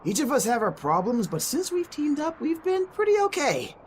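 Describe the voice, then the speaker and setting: Animated man playing an excitable young guy, noisy audio. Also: very high voice, moderate pace, full character voice. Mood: candid. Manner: casual, slangy, animated. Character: friendly, excitable young man loyal to his friends. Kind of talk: amateur audio drama acting.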